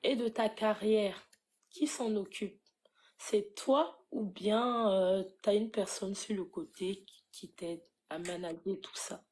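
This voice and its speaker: A woman's voice: mid-range voice, takes it slowly, darkish tone. A woman host asking questions of a music guest, with a playful, teasing manner. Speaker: interviewer. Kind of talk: interview questions.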